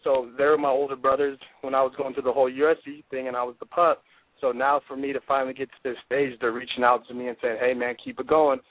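A man's voice: mid-range voice, flat delivery, fast, no room sound. A man in his twenties, a football player being interviewed, talking in an intense, confident, driven way over the phone. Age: 20s. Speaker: football player, draft prospect guest. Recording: dry tinny phone line.

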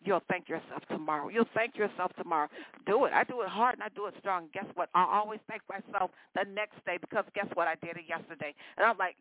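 A woman's voice: medium pitch, fast. A woman in her sixties talking casually on air as a radio DJ between songs, chatty and repetitive, addressing listeners as y'all and baby. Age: 60s. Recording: phone-like lo-fi, dry sound.

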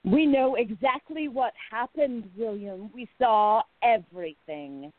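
A woman's voice: fairly high pitch, expressive, slow pace, dry recording. A woman playing a curt, cold henchwoman who guards the club door, on a tinny phone-like line. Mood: icy. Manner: icy, curt.

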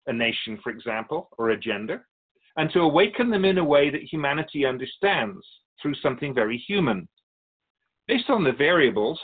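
A man playing a patient, wise, otherworldly Keeper of Souls who teaches in a measured, philosophical way in a radio drama. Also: mid-range voice, husky, phone-quality sound. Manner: measured, philosophical, gently instructive. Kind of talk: scripted radio drama dialogue.

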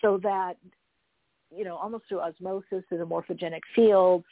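A woman's voice: fairly low pitch, fairly even intonation, normal speed, no echo. A woman hosting a spiritual talk show, chatting in a casual, rambling way and addressing her listeners informally. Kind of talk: casual live talk, interviewing. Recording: thin phone-like audio.

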